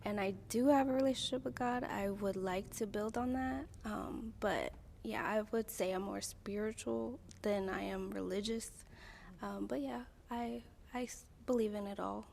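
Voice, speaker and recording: A woman of thirty on a dating show, answering thoughtfully with hesitant pauses. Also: medium pitch, gravelly, a little mellow, big-room sound, slight background noise. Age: 30s. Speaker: dating game contestant.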